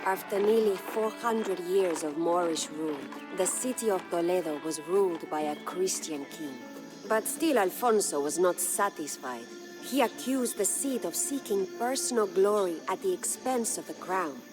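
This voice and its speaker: Woman acting a low-voiced, solemn noble Spanish widow. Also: animated, natural voice, poor audio. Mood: solemn, storytelling. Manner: solemn, formal, measured. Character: proud grieving noble Spanish widow, lady of a castle. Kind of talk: dramatic scripted storytelling narration. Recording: music behind the voice.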